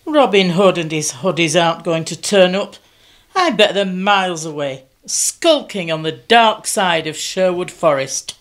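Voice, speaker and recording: A woman's voice: on the low side, expressive, measured pace. Cordelia, a woman as a tart scheming sister. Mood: sharp. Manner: sarcastic, tart. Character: sharp-tongued scheming sheriff's sister Cordelia. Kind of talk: amateur radio play acting.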